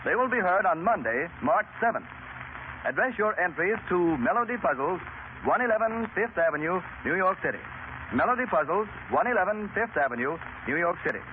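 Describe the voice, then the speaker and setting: An American man reading scripted 1930s radio announcements in a brisk, formal manner. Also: high-pitched, big pitch swings. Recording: narrow-band 1930s radio, faint noise.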